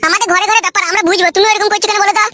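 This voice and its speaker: Stubborn cartoon village youth played by a woman: very high voice, rattling pace, crude back-talk, rural West Bengal accent. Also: flat delivery, rapid-fire, pushed and bright, no room sound, clean studio sound. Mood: defiant. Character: stubborn, sulky young village man, defiant bigamist. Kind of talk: comic cartoon dialogue.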